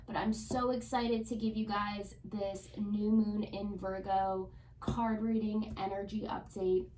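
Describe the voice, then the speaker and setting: A woman's voice: medium pitch, little pitch movement, measured pace, mellow, reverberant, far from the mic, slight background noise, poor audio. A woman with a cold, sounding congested, chatting casually through a tarot reading with lots of ums. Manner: chatty, with frequent ums and likes. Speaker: tarot reader.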